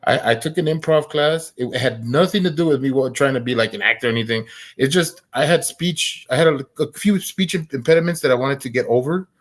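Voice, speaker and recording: A man in a casual chat who talks freely, teases the others and stumbles over his words. His voice sits at an ordinary pitch. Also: brisk. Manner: chatty, teasing, stumbles on words.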